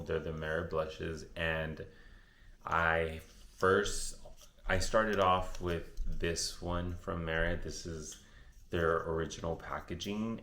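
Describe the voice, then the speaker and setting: Low, gravelly-voiced man chatting about makeup. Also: fairly bright tone, echoey room. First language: Spanish. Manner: chatty, rambling, self-correcting. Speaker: beauty vlogger. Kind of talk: casual makeup tutorial chat.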